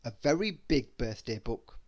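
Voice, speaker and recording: A storybook reader narrating a children's tale aloud with expressive emphasis. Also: mid-range voice, normal speed, lo-fi sound.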